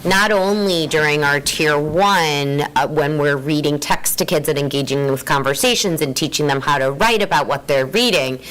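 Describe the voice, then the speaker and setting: A woman explaining a new approach in a measured way at a school board meeting. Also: low voice, a bit bright, rough recording. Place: meeting room.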